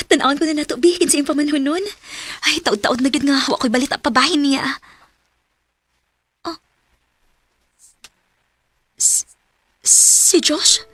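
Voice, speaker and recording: A woman's voice: high voice, big pitch swings, slow, long pauses, bright, forceful tone, no room sound, full character voice. A woman playing a heartbroken, wistful thirty-year-old single woman in a radio drama, with a Cebuano Filipino accent. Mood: curious, startled. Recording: music under the voice.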